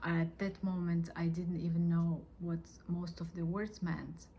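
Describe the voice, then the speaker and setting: A woman speaks in a lowish voice, telling her own faith story earnestly and gently. She pauses often and fills the gaps with soft "um"s and "uh"s. A faint hiss sits under her words. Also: fairly even intonation. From Russia. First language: Russian. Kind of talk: personal testimony.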